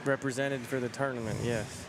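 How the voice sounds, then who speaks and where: A man's voice: medium pitch, fairly even intonation, a little fast. A man who works at a sports event, chatting casually as he is interviewed on location. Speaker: tournament staffer being interviewed. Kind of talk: casual chat. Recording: clear urban ambient noise, live on location.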